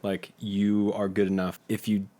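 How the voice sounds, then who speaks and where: A man's voice: fairly low pitch, completely flat delivery, moderate pace, warm tone. A young man giving a casual interview, with an informal, jokey, slangy way of talking. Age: young adult. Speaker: podcast guest, community theatre actor.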